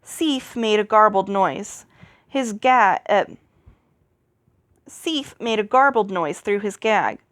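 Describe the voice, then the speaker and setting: A woman reads a fantasy story aloud in an expressive storyteller's way. Her mid-range voice moves with animated intonation, and the recording is dry and close, with little room sound. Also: mid-range voice, takes it slowly, pauses often, no echo. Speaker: amateur audiobook reader. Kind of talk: reading a fantasy novel aloud.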